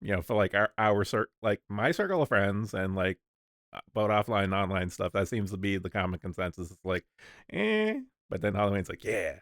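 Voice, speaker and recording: A man's voice: on the low side, sing-song, moderate pace, fairly bright tone, no echo, studio quality. A man hosting a casual online stream chat, rambling and restarting his sentences while he sets up and talks. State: coughing lately, sick. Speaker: streamer host.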